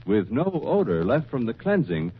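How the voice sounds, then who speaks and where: A man with an American accent announcing an old-time radio commercial in a measured, formal sales delivery. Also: mid-range voice, big pitch swings, noisy background. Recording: thin, phone-like old radio recording.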